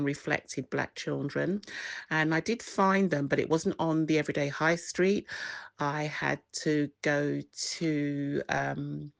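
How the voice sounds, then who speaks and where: Middle-aged interviewee, unhurried and halting, gravelly tone. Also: mid-range voice, no echo. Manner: hesitant, lots of pauses. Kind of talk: recalling childhood in an interview.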